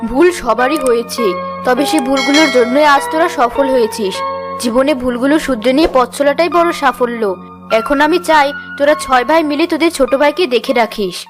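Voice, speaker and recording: A woman's voice: high-pitched, fairly quick, music in the background, lightly acted. A woman playing a stern, scolding mother of grown sons in a cartoon fairy tale, with a blunt, sarcastic manner. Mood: forgiving.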